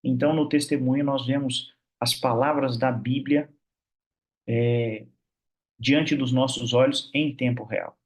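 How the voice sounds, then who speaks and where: A man's voice: medium pitch, steady tone, measured pace, slightly rough. Chatty Brazilian man hosting a church call. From Minas Gerais, Brazil. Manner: warm, chatty, many fillers like "né". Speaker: host of an online prayer meeting. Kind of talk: casual church meeting hosting. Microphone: video call.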